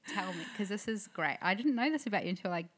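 A woman's voice: mid-range voice, animated, fast, fairly bright tone, breathy edge. A woman hosting a casual interview, encouraging her guest with frequent affirmations. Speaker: podcast host.

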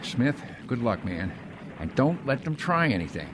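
Man acting a blunt, animated American infantryman. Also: medium pitch, measured pace. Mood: stern, threatening. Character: tough, anxious young American infantry soldier. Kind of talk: radio drama acting. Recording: battle sound effects and noise behind.

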